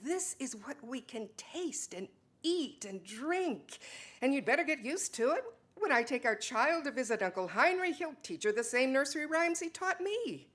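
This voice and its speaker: A woman's voice: high voice, animated, normal speed, fairly bright tone, voice pushed up. A woman playing an intense, defiant wife who translates poetry, in an impassioned dramatic stage reading.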